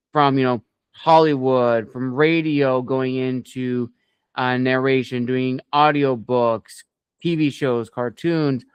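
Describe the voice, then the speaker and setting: Smooth-voiced man hosting an interview, earnest and measured. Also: mid-range voice, no room sound. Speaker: podcast host, interviewer and author. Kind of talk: interview hosting with long discursive questions.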